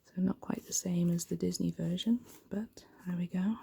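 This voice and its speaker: Female ASMR storyteller: low, mellow, gently creaky voice, soft and hushed bedtime narration. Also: steady tone, normal speed.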